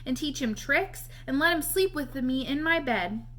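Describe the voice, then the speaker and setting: A woman's voice: sits high, animated, normal speed, a bit bright. A woman voicing a stubborn, troubled young girl in a children's storybook, with blunt, folksy phrasing. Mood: determined.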